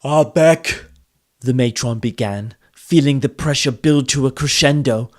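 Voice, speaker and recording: A man's voice: medium pitch, normal speed. A man reading a fantasy audiobook as narrator, in a dramatic, theatrical storytelling style.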